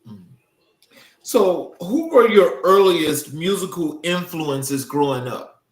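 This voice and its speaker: A man's voice: fairly high pitch, animated, slow pace. A man hosting a conversational interview, asking questions and offering short affirmations. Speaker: interview host. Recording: roomy, echoey sound.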